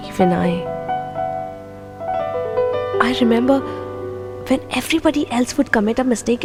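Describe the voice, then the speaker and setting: An actress with an Indian English accent playing a young woman remembering an old friend, musing softly to herself. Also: on the high side, natural voice. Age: young adult. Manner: soft, musing inner monologue. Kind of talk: audio drama acting with inner monologue.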